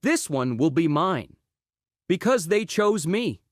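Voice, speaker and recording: A gentle stray dog from a storybook, played by a woman, hopeful and earnest. He explains himself simply, with a swooping, unhurried delivery. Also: on the low side, big pitch swings, no room sound, studio quality. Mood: assured. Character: homeless male dog, gentle, newly hopeful friend.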